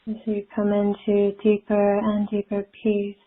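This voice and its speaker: Phone-line woman leading a very slow meditation. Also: medium pitch, completely flat delivery, slightly rough. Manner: soothing, gentle, with long pauses. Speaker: guided meditation leader. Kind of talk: guided meditation, spiritual. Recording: muffled phone-like live call audio.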